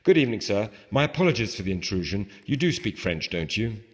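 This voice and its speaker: A man's voice: lowish voice, big pitch swings, brisk. A man reading aloud the part of a brash, mocking friend, insistent and falsely courteous, in a novel, in a reverberant space. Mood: mock-polite, goading. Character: brash, mocking male friend, theatrically suave. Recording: reverberant hall with long echo.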